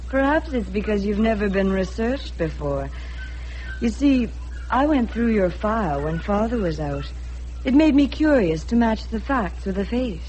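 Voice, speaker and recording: A woman's voice: mid-range voice, very animated, normal speed, a little mellow, lightly acted. An actress playing a poised, candid young rich woman in a radio drama, speaking directly with cool composure. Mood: coolly teasing. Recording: noisy vintage radio drama recording.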